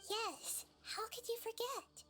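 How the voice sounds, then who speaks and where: Little girl deity, video game dialogue, extremely high voice, gentle, wise, reassuring, soft music behind. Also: takes it slowly, full character voice. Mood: thoughtful.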